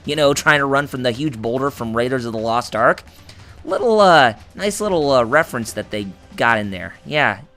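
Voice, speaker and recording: Male let's-play host, hoarse and raspy, chatty and rambling; bright tone. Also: mid-range voice, animated, normal speed, faint music behind. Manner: rambling, full of fillers and restarts, jokey. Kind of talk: gameplay commentary.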